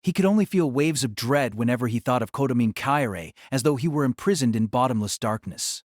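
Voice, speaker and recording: A man reading scripted, dramatic novel narration as an audiobook narrator, in a measured, formal way. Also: medium pitch, lively intonation, darkish tone, no echo, studio quality.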